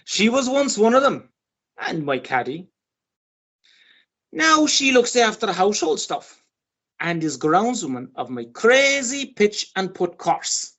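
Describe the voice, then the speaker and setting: A woman's voice: mid-range voice, big pitch swings, unhurried, a bit bright. A woman acting an ageing, boastful Irish male sporting champion in a radio play, chatty, pompous and persistent. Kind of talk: radio play monologue and dialogue.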